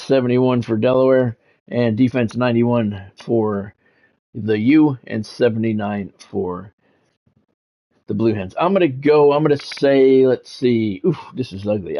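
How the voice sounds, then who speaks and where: A man's voice: medium pitch, a little mellow. Middle-aged man calling a sports game stream. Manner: radio sportscaster delivery, repeats phrases for emphasis. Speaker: game streamer and play-by-play announcer. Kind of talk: live sports game stream commentary with chat banter.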